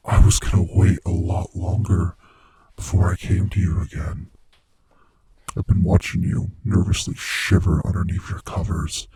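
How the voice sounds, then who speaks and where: A man playing a sadistic, playfully menacing monster from under the bed in a horror ASMR roleplay, with a breathy, raspy voice that often sinks into whispers as he taunts the listener. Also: deep voice, no echo, heavily acted. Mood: savoring, predatory. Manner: slow, taunting, savoring, hushed menace.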